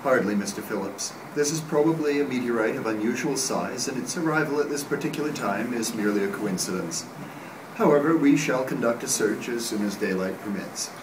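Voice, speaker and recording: A man playing a measured, skeptical astronomy professor, reading a 1930s radio play from a script. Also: medium pitch, expressive, natural voice. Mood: measured, dismissive. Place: hotel meeting room at a convention panel. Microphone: camera across the room. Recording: echoey noisy room, distant camera mic.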